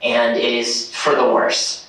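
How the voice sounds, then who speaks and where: A man's voice: medium pitch, completely flat delivery, a little slow, a bit bright. A man speaking on a convention panel in a casual, rambling way, with many fillers and restarts. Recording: echoey hall from far off.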